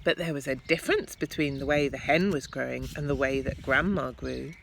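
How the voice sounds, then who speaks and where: A woman teacher reading a children's story aloud expressively, with deliberate, dramatic emphasis. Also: very deep voice, creaky, a little hiss or hum.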